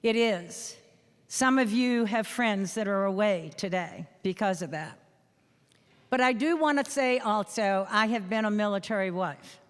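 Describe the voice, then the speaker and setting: Older lady w new england accent giving a speech, earnest and emphatic, smooth clear voice, big echoey hall. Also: mid-range voice. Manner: earnest, emphatic, with measured pauses. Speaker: veteran guest speaker. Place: school hall or gym.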